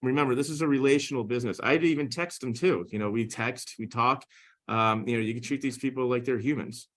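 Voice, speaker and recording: A man's voice: medium pitch, a little fast, fairly bright tone. A man speaking as an interview guest and founder, in a casual, conversational and emphatic way.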